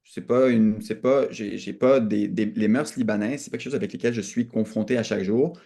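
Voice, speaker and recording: A man's voice: fairly low pitch, steady tone, a little fast, mellow. A young man from Canada with a Quebec French accent, speaking as a podcast guest in a reflective, earnest conversation, restating points for emphasis. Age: young adult.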